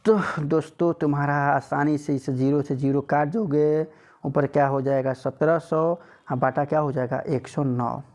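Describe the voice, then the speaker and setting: Higher-pitched man coaching exam maths. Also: steady tone, moderate pace, a little mellow. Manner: repeats phrases, rhetorical questions to students. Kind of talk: informal exam lesson, working through questions.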